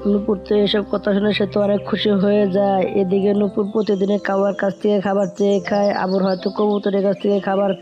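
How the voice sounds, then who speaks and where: A woman's voice: medium pitch, completely flat delivery, fast, hardly any pauses, dark tone, highs cut off. A woman narrating a scripted children's cartoon story. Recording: music bed behind the voice.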